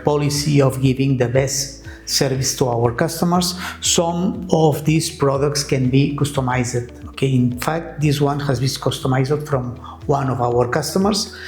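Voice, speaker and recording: A man with non-native English presents products in a step-by-step, somewhat halting way. His voice sits at a medium pitch in an echoey room, with music playing underneath. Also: a little mellow. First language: not English. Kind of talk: semi-scripted product presentation.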